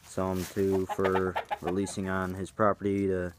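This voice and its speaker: A man's voice: low voice, completely flat delivery, moderate pace, heavy background noise. A young man giving a rambling, hesitant walkaround tour of his farm outdoors, filming on a handheld phone. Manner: rambling, hesitant, unsure of plans. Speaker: farm vlogger. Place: outdoors on a farm yard and woods.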